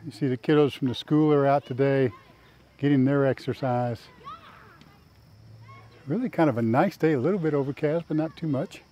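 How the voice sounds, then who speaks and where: A man's voice: mid-range voice, moderate pace, long pauses, warm tone, highs cut off. An older man hosting a casual walking tour outdoors on a lapel mic, folksy and plain-spoken. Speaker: vlog host and tour guide. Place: outdoors in a park.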